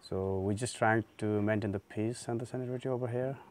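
A man in his thirties who owns a guesthouse, answering interview questions outdoors in a relaxed and halting way. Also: fairly low pitch, a little hiss or hum. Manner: relaxed, halting, with many fillers. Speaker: guesthouse owner. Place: outdoor garden by a lake.

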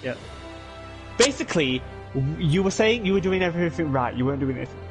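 A man's voice: a little high, animated, fast talker. Cast: a man with a slightly raised voice, casual gamer chatter, playful trash talk, music playing under him. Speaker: player in voice chat. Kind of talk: casual gaming chat.

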